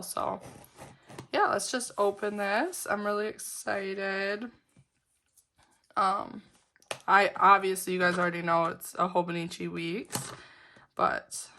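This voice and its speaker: A woman's voice: on the low side, pushed and bright, a little hiss or hum. A woman vlogger chatting casually through an unboxing, her voice a little off from being sick, upbeat and giggly with lots of fillers. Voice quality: slightly weird-sounding sick voice. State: voice roughened from a lingering illness. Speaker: planner vlogger.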